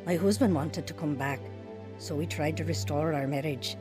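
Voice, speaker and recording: Actress as a heartfelt young wife over music. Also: female voice, low voice, normal speed, close to an everyday voice. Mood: reflective. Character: troubled, heartfelt young wife recounting her life. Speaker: actor narrating a radio drama. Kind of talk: scripted first-person dramatized testimony.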